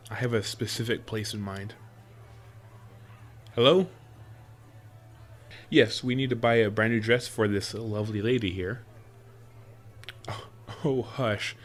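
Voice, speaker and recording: A man's voice: on the low side, close to an everyday voice. A man playing an affectionate, playful boyfriend in a roleplay audio drama, talking in a teasing, coaxing way. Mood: wry, playful, then insistent, teasing. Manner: teasing, chuckles often, coaxing. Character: affectionate playful boyfriend spoiling his sweetheart with surprises.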